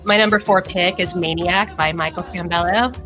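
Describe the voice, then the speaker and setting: A woman with a slightly low voice talks casually as a podcast host. Music plays clearly behind her as she chats about pop culture. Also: steady tone, moderate pace.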